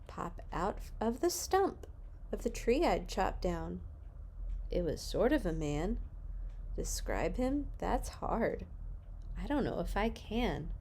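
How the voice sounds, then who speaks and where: Slow, expressive woman reading a storybook. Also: medium pitch, slight background noise. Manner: deliberate, dramatic emphasis for children. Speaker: children's story-time host. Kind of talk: expressive storybook read-aloud.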